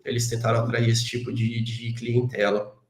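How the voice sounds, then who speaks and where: A man's voice: medium pitch, completely flat delivery, moderate pace, background noise. A Brazilian man, a guest on an interview show, talking casually with a Brazilian Portuguese accent, tagging his sentences with constant little questions and poking fun at himself. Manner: chatty, constant "né" tag questions, self-deprecating. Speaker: guest, database engineer. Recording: roomy echo.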